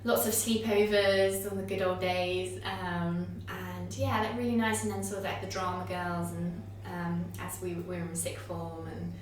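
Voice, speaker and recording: A young woman with a squeaky voice, chatting casually as a podcast guest. She keeps laughing and circles back over her own words. She sits well back from the mic in a very echoey room with a faint hum of ambience. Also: flat delivery, normal speed, few pauses, recorded from a distance. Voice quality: high, squeaky voice. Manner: chatty, laughs often, many fillers and restarts. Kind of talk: casual reflective interview chat.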